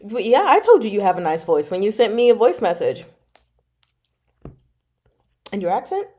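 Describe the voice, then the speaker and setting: A woman with a warm, mellow tone at a middle pitch chats casually as host of an online book club. Her delivery is expressive and giggly, like informal talk about a favorite novel with regular viewers. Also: moderate pace.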